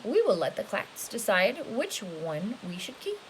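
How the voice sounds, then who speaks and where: A woman's voice: medium pitch, wildly swooping pitch, a little slow, low-quality recording. A woman voicing a very old woman who is practical and kindly, a little fretful, in a storybook. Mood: worried. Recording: hissy home recording.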